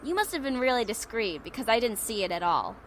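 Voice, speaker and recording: High, animated schoolgirl, guarded and sarcastic, curt game dialogue over music. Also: quick pace, a little hiss or hum. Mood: dry, teasing. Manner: curt, accusatory, teasing. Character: wary, prickly schoolgirl teammate, guarded and sarcastic. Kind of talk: anime-style visual novel game acting.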